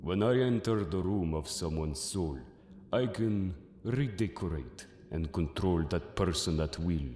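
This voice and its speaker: A man's voice: sits low, a little slow, mellow, slight background noise. A man playing a solemn, mysterious Egyptian mystic in an audio drama, speaking in a grave, portentous manner. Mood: menacing, confident. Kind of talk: audio drama acting of a manga. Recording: echoey, long cavernous reverb.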